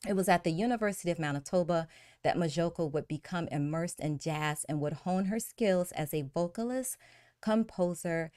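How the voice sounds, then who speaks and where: A woman hosting a live-streamed podcast, warmly introducing a guest and reading her bio with enthusiasm. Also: fairly low pitch, moderate pace, clear, resonant tone, no room sound, studio quality. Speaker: podcast host.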